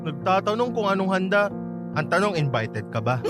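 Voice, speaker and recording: A man's voice: high voice, big pitch swings, moderate pace, music in the background. An actor playing a campy, sulky but playful young man in comedic radio drama banter. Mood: exasperated. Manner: sassy, exasperated, playful.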